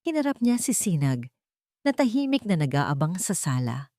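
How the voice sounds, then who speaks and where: Woman reading a dramatic love story, lowish voice, really dry sound. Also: big pitch swings, moderate pace, mellow, dry recording. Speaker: story narrator. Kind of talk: scripted dramatic narration.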